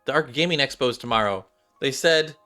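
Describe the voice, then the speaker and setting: A man voicing a shy, earnest young android gamer girl from a game story, halting and heartfelt. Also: mid-range voice, sharp tone, soft background music, pitched above the performer's own voice, lightly acted. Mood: awkward, rehearsing. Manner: halting, heartfelt, repeats and restarts.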